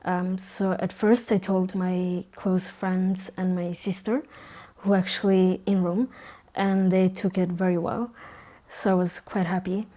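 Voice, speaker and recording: A young woman from Italy, calmly telling her own story with some fillers. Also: fairly low pitch. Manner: calm, measured, with fillers. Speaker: documentary interviewee. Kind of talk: personal testimony.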